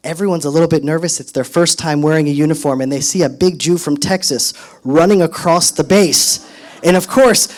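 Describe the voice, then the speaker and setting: Brief: high-pitched man, 30s, lively storyteller at a podium, punches up quoted lines. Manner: animated, acts out quotes in raised voice. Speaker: speaker at a solidarity event. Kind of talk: storytelling speech to an audience.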